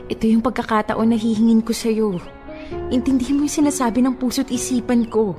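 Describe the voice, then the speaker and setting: An actress playing a grieving woman who earnestly and gently turns away her suitor in an emotional radio drama. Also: on the high side, level tone, a little fast, lightly acted. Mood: pleading, loving. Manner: pleading, earnest, heartfelt. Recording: music playing behind the voice.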